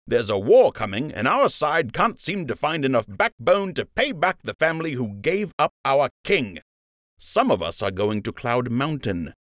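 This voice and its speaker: Man voicing an angry, scornful rabbit lieutenant, raised pitch. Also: no room sound, clean studio sound. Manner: stern, sarcastic, haranguing.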